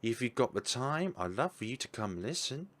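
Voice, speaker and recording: A man's voice: medium pitch, big pitch swings, moderate pace, a bit bright, voice pushed up. A man voicing a polite, eager young woman scientist in a visual novel read-aloud. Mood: friendly.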